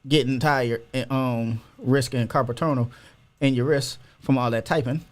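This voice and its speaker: A man's voice: mid-range voice, fairly even intonation, moderate pace. A man hosting a casual podcast, talking loosely and opinionated with lots of slang. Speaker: podcast host.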